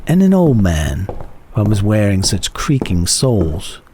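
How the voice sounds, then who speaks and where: A man giving a dramatic audiobook reading of old-fashioned prose, with measured, expressive phrasing. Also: on the low side, noisy background. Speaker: reader of a classic short story.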